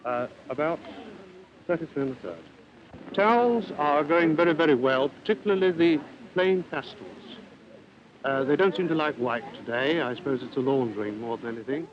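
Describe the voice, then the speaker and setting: A man's voice: fairly high pitch, moderate pace, a crowd in the background. A man, a shop salesman explaining his goods to an interviewer. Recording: dull 1950s newsreel, noisy shop floor.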